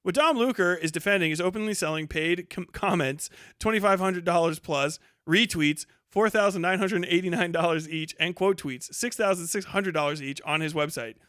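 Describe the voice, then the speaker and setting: A man's voice: high voice, moderate pace, hardly any pauses, a bit bright, clear, resonant tone, no echo, studio quality. A man hosting a livestream with casual reaction commentary, talking and reading aloud in a blunt, mocking, profane way. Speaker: livestream host.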